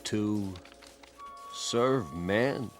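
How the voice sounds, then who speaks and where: An actor playing a stern, skeptical military colonel with a clipped, formal, sardonic manner in a radio drama. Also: male voice, fairly low pitch, extremely slow, soft background music. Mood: doubtful.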